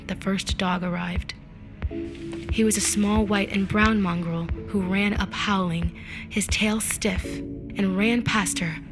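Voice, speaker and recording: A woman narrates a literary audiobook in a deliberate, expressive storytelling manner, with phrase endings that drop to a hush. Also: medium pitch, poor audio. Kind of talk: dramatic literary audiobook reading. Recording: musical effects mixed under the voice. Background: music and effects.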